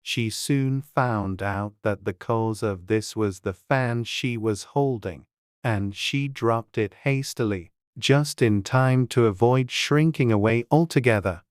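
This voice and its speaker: A woman narrating a storybook as an audiobook, with a measured storytelling delivery. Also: rumbling low, darkish tone, no echo, clean studio sound.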